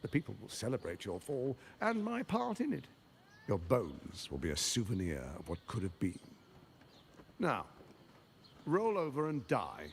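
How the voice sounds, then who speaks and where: An actor playing a menacing, pompous tyrant villain in a dramatic video game scene. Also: male voice, fairly low pitch, wildly swooping pitch, a little slow, creaky, a little hiss or hum, exaggerated voice. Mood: menacing, bitter. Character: vengeful, grandiose tyrant villain, menacing and theatrical. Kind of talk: dramatic game cutscene acting.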